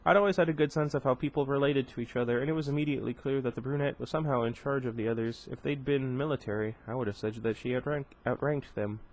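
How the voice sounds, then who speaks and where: A man's voice: mid-range voice, fast, few pauses, rough recording. Man casually reading a novel aloud. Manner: reads plainly, stumbles and corrects himself, breaks off for joking asides. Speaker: reader of a novel. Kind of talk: casual read-aloud with asides.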